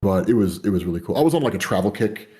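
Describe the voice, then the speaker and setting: A man's voice: sits low, expressive, racing, dark tone. A man in casual conversation, rambling with lots of fillers and the odd swear. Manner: rambling, many fillers and "you know".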